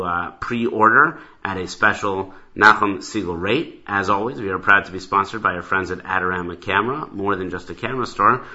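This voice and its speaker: A man hosts an interview in a low, very punchy and forceful voice. He talks at length in a conversational way, rephrasing as he goes. The audio is slightly dull. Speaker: talk show host and interviewer. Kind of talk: conversational interview with sponsor plugs.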